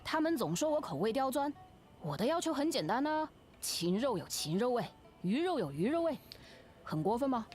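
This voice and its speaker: Game role: cocky, cheerful boy lion dancer, highish and very animated, punchy rhythmic delivery. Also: fairly quick, soft background music. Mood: cheeky. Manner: energetic, boastful, rhythmic delivery. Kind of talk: video game voice acting.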